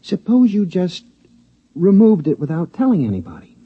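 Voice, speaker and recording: A muffled vintage radio recording carries a timid henpecked husband, husky and placating. His pitch swings up and down as he coaxes and pleads, in old radio-drama style. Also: sing-song, moderate pace, full character voice. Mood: coaxing. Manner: conciliatory, coaxing. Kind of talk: 1950s radio drama acting.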